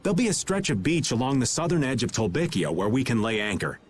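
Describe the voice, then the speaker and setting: Man acting as roguish middle-aged guild veteran, lively swooping delivery, breezy and teasing, video game dub, faint noise behind. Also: medium pitch, fast, natural voice. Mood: breezy, wry. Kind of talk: animated video game dubbing.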